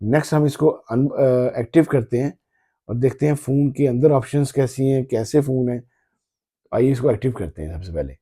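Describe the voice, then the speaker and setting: A man with a very dark, soft-edged, mellow voice at a middling pitch. He talks casually as a tech vlogger presenting a product, haltingly and often saying a phrase again. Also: slightly rough. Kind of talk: casual phone unboxing commentary.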